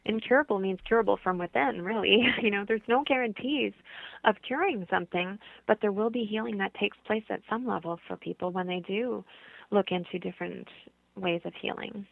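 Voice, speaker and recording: A woman's voice: medium pitch, brisk, creaky, no echo. Woman on a tinny phone line. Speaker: interview guest, holistic healer. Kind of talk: conversational radio interview.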